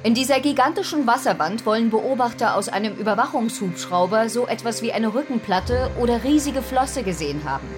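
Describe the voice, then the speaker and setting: An actress playing a serious female news anchor, putting measured, probing questions in a formal radio-play interview. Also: on the high side, lively intonation, over music, natural voice.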